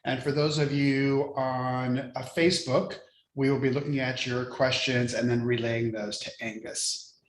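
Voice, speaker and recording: A male host welcomes a guest over a video call, his middle-pitched voice echoing in a roomy space. He talks in a friendly, hesitant way, filling pauses with ums. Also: level tone. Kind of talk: casual interview chat.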